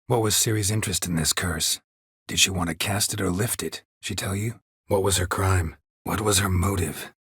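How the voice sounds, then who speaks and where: An actor playing a gruff, stoic, sardonic middle-aged monster hunter in a video game, a gravelly rasp and terse, deadpan delivery. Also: male voice, sits low, moderate pace, no room sound, clean studio sound, exaggerated voice. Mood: measured, inquisitive. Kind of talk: video game character dialogue.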